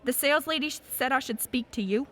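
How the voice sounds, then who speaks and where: A woman playing an effusive, chatty shopper mother in a radio play, with a brisk, emphatic manner. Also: high-pitched. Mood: enthusiastic.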